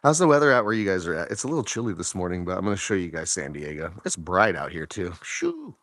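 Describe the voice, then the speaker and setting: Hoarse, chatty man on a morning walk. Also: low-pitched, huge pitch swings, fast talker, a bit bright, no echo, clean studio sound. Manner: rambling, chatty, filler-heavy, asks viewers questions. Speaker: vlogger. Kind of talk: casual talk to camera on a walk. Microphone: phone held while walking.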